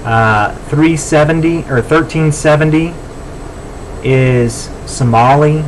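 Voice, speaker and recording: A man's voice: fairly high pitch, takes it slowly, rough recording. American man giving instructions over background noise. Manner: informal, step-by-step. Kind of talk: software walk-through tutorial.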